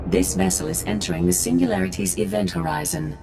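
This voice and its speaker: A woman's voice: fairly low pitch, animated, fast, creaky, over music, rough recording. A woman voicing an impersonal ship computer in a video game, making formal announcements. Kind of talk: video game character acting.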